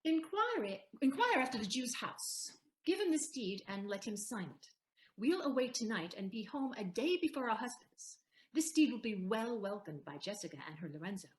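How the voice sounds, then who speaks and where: Woman playing a shrewd poised lawyer, high lively voice, formal, teasing, Shakespearean audio drama. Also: moderate pace, echoey room, poor audio. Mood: brisk, assured. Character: shrewd, poised Portia disguised as a male lawyer.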